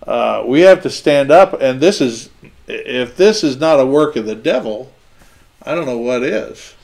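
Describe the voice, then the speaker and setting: A man's voice: mid-range voice, lively intonation, measured pace, gravelly, a little mellow. Conversational man in his sixties. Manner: conversational, with hand gestures. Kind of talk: panel discussion. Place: small indoor studio set.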